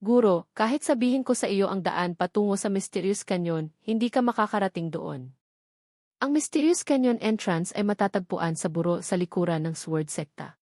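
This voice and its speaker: A woman's voice: mid-range voice, moderate pace, darkish tone, no room sound, studio quality, natural voice. A woman voicing a cautious young female disciple in a storybook, respectful toward her master. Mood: anxious, warning.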